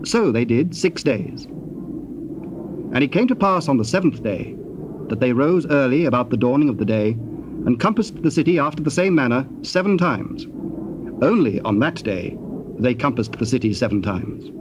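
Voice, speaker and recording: A man's voice: medium pitch, expressive, normal speed. A man narrating dramatized scripture in a solemn, formal storytelling manner. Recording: dull, noisy old cassette tape.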